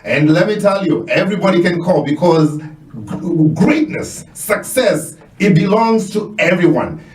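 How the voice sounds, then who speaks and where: A man with a Kenyan accent hosting a radio interview in a studio, exclaiming often and talking with animated, effusive energy. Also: sits high, measured pace, reverberant, distant mic, poor audio. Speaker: radio show host.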